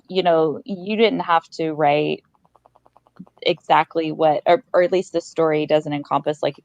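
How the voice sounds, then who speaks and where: Low woman podcast host, bit of vocal fry, effusive and supportive, casual chat. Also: darkish tone, no room sound, highs cut off. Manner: effusive, affirming.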